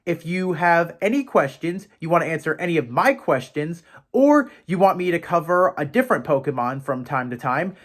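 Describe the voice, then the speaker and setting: A man with a fairly high voice hosts a scripted video for fans. He speaks straight to the viewers in a playful, theatrical way, as a presenter playing up a professor persona. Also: very animated, moderate pace, fairly bright tone. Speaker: host of a Pokémon video series.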